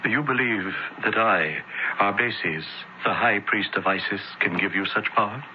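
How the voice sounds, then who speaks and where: An actor playing a commanding, sinister high priest of Isis in a grand, measured radio drama manner. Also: male voice, lightly acted. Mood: haughty, probing. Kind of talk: 1970s radio drama acting. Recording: muffled old radio broadcast, faint hiss.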